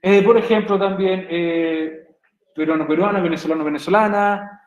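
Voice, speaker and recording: Chilean teacher with a high voice. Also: male voice, fairly even intonation, moderate pace, roomy sound, low-quality recording. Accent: Chilean Spanish. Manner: chatty, jokey, asks "¿cierto?" often. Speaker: English teacher. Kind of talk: online class explanation. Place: home room on a video call.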